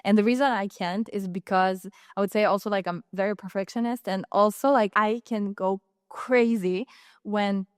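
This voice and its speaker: Young Israeli-accented woman hosting a solo podcast; earnest, rambling delivery, crisp voice, dry room. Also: medium pitch, fairly even intonation, normal speed, dry recording. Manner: rambling and earnest, many restarts and fillers. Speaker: podcast host. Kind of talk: casual solo podcast talk.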